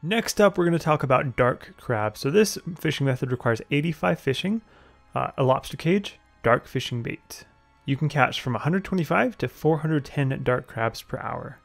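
A man's voice: a little high, expressive, normal speed, creaky. A young man narrating a video game tutorial in a plain, matter-of-fact way, with the odd "uh". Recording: faint background music.

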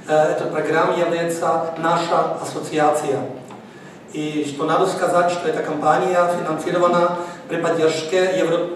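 Male speaker, fairly high voice, stumbling phrasing, distant echo in a big hall. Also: a little hiss or hum. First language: Czech. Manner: halting, apologetic about his Russian. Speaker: association chairman. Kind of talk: formal presentation. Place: large conference hall. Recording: echoey hall from far off.

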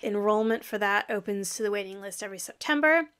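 A woman's voice: mid-range voice, animated, fast talker, a bit bright, clear, resonant tone. A woman in her thirties with an American accent, a guest herbalist chatting casually on a video call from a home office, laughing often as she explains. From lives in Yorkshire, UK. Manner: chatty, laughs often, gestures while talking. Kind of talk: casual interview chat. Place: home office with framed flower print.

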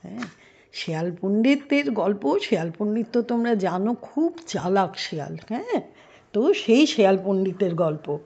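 A woman's voice: medium pitch, sing-song, moderate pace, a little mellow, husky, dull audio. An older woman telling a story to young children in a grandmotherly way, explaining and asking questions as she goes.

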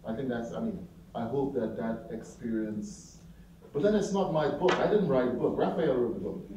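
A man's voice: medium pitch, normal speed, recorded from a distance, noisy background. A middle-aged man from Trinidad, a poet and novelist answering audience questions in a seminar room. He speaks into a handheld mic and talks in a reflective way, searching for words and often saying "you know". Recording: echoey room picked up from afar, slightly dull.

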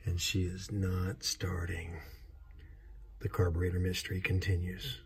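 A middle-aged man talks into a phone held at arm's length outdoors, his voice fairly low. He chats casually and pokes fun at himself, often trailing off with ums while he searches for a word. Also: monotone, unhurried, gravelly, slightly rough. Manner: chatty, self-deprecating, fumbling for words. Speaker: vlogger fixing his classic car. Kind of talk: casual road-trip vlog. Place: outdoors in a sunny desert yard. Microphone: handheld phone camera at arm's length.

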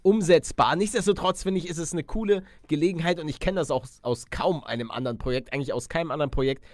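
A young man giving casual, slangy video game commentary as a let's play host, animated and chummy with his viewers. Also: on the high side, fast talker, dry recording.

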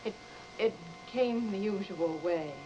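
An actress playing a polite, thoughtful young maid in a vintage broadcast drama, speaking deferentially. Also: female voice, medium pitch, slow, loud music behind the voice, lightly acted. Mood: polite, reserved. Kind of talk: vintage mid-century broadcast drama acting. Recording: dull, noisy vintage broadcast recording.

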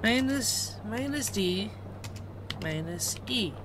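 A woman's voice: medium pitch, animated, takes it slowly, background noise. A woman streaming a game live, muttering commands aloud and swearing at it. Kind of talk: live gameplay commentary.

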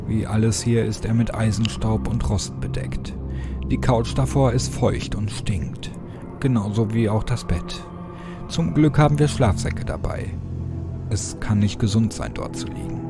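A man reading a scripted first-person horror story as an audiobook narrator, in a measured, dramatic way. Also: fairly low pitch. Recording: music behind the voice.

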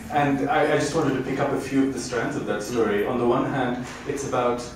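A man's voice: mid-range voice, fairly quick. A man in his fifties moderates, building his questions with a thoughtful, academic air. He speaks into a handheld mic on a stage. The recording is distant and echoey, with a faint hiss. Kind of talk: interview questions. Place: lecture room on a stage. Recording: echoey room, recorded from afar, faint noise.

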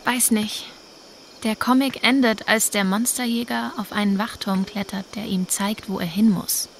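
An actress voicing an earnest, self-doubting young woman in a video game dub, soft-spoken and pensive. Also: medium pitch, fairly even intonation, normal speed, a little hiss or hum, natural voice. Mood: focused, puzzling out. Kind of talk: dubbed video game acting.

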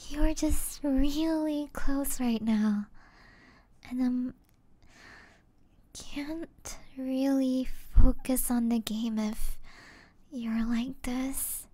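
Woman doing a sweet flustered gamer girlfriend, asmr roleplay, soft mellow voice, close dry sound, murmury. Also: sits high, fairly even intonation, very slow, long pauses, no echo, exaggerated voice. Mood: flustered, coaxing. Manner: soft-spoken, hesitant, often drifting into breathy murmurs. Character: affectionate young gamer girlfriend, gently flustered.

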